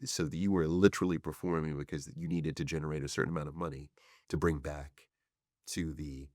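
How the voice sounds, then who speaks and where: A man hosting an interview, asking gentle questions and offering brief affirmations. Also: very deep voice, fairly even intonation, fairly quick, no room sound, studio quality. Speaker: interview host. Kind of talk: conversational interview.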